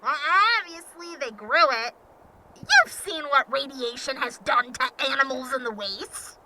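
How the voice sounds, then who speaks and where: Woman playing a high-voiced, sarcastic traveller. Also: unhurried. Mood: sarcastic, exasperated. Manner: dry, exasperated, blunt. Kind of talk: post-apocalyptic audio drama acting. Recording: loud background noise, clicks.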